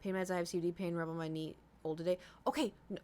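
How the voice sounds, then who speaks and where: A woman's voice: on the low side, fairly even intonation, fast talker, dark tone. A woman in her thirties chatting casually to livestream viewers, rambling informally, swearing freely and repeating herself for emphasis. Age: 30s. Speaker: livestream host.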